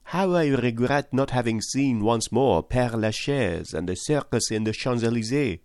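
A man reading an audiobook voices an excitable, voluble French manservant who talks fast and stubbornly. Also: mid-range voice, no echo, clean studio sound, voice pushed up, lightly acted. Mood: chatty.

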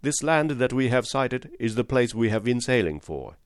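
A man's voice: medium pitch, sing-song, moderate pace, no echo, clean studio sound, close to an everyday voice. A man voicing a stern ship captain who addresses his crew formally in a raised voice. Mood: commanding.

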